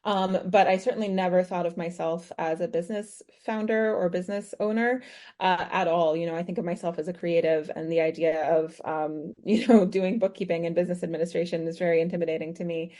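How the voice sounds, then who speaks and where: Soft-toned American woman, podcast guest. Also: lowish voice, steady tone, brisk. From United States. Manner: thoughtful, many ums and restarts. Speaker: guest author. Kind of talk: podcast interview, conversational.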